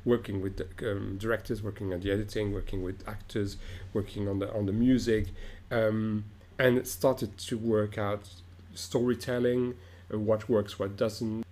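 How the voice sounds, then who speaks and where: A middle-aged man from Morocco with a fairly low voice talks in a reflective interview. He pauses, restarts and fills gaps with "um" as he gathers his thoughts. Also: level tone, a little mellow. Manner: thoughtful, halting, many restarts and fillers. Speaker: opera director, guest.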